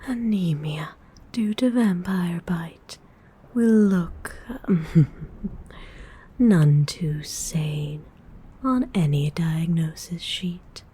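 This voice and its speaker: A woman voicing a predatory, hungry female vampire in a breathy whisper, a seductive and politely menacing ASMR roleplay monologue. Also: on the low side, lively intonation, mellow, heavily acted. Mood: dry taunting. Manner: slow, drawn-out, teasing menace. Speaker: ASMR voice performer.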